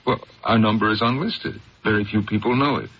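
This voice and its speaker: An actor playing a successful, authoritative but anxious district attorney in a 1970s radio drama. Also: male voice, on the low side, steady tone, brisk, natural voice. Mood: fond, dry. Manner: authoritative, hesitant under pressure. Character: anxious, brusque, successful male district attorney hiding secrets. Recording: dull, faintly noisy 1970s radio broadcast.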